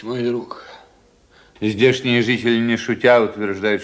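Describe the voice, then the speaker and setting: An actor playing a witty, sardonic doctor in a Russian radio drama, with expressive, teasing, theatrical delivery. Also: male voice, mid-range voice, close to an everyday voice. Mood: teasing warning. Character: witty, sardonic male doctor, worldly confidant of the officer.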